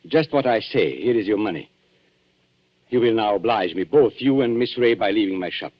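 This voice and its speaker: Man playing a suave, sneering radio-thriller blackmailer, swooping pitch. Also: animated, normal speed, close to an everyday voice. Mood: cold offence. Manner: smooth, mocking, theatrically polite. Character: suave, sneering male blackmailer posing as antique dealer. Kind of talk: 1940s radio thriller acting. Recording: muffled 1940s radio recording.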